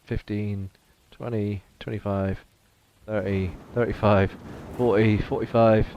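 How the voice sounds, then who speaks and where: A man's voice: fairly low pitch, flat delivery, measured pace, darkish tone, highs cut off, a little hiss or hum. A man playing in a tabletop game, chatty and self-mocking, sometimes counting aloud. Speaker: player. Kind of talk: casual tabletop game chat. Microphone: podcast mic.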